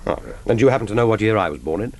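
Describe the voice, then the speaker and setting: Male actor as British secret agent, deep voice, radio play, cool, dry, clipped, reserved courtesy. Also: expressive, very fast, darkish tone, close to an everyday voice. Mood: polite, reserved. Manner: dry, polite, businesslike. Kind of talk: radio drama acting.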